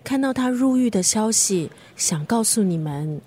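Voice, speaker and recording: An actress playing a frank, chatty middle-aged best friend in a radio drama, with a Singaporean accent. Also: female voice, mid-range voice, lively intonation, moderate pace, warm tone, husky. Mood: concerned. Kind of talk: Mandarin radio drama acting.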